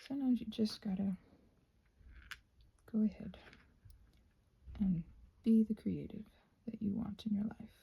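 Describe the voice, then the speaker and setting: A woman with a soft, breathy voice, often close to a whisper, musing aloud in a playful, whimsical ASMR ramble as she crafts. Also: medium pitch, a little slow, pauses often, low-quality recording.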